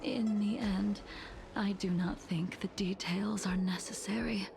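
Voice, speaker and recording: A solemn, grieving warrior woman from a video game. She speaks slowly and with measured restraint in a hushed, breathy voice that creaks at the edges. Music plays behind her. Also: mid-range voice, fairly even intonation. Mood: cool, composed. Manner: slow, measured, grave. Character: solemn, grieving veteran swordswoman, cool and restrained. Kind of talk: English-dubbed video game story acting.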